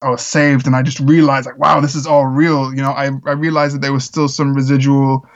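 A man's voice: medium pitch, flat delivery, a little fast, lo-fi sound. A man who grew up in the Czech Republic, a musician guest talking earnestly in a casual interview, with lots of fillers. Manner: earnest, rambling with frequent fillers.

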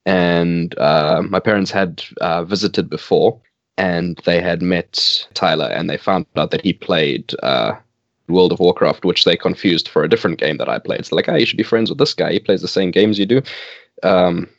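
Man, 20s, Afrikaans native speaker from South Africa; very low voice, relaxed and laughing, dry muffled recording. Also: normal speed, gravelly, dry recording. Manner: relaxed, laughs often, hesitant restarts. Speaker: band bassist. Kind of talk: casual interview chat.

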